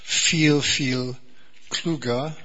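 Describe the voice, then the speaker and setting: An Englishman preaching a sermon in German, a bright voice with a breathy edge. He talks slowly and earnestly, repeating words and restarting phrases. The recording sounds a little dull. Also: mid-range voice, steady tone. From England.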